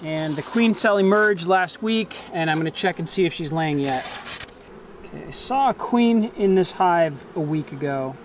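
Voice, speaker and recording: A man's voice: fairly high pitch, moderate pace, a little hiss or hum. A man in his forties casually narrating his work as a beekeeper, talking partly to himself, recorded outdoors. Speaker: beekeeper vlogger. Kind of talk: casual narrated hive inspection. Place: outdoors in a grassy bee yard. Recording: outdoor ambience with buzzing insects. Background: buzzing bees and insects.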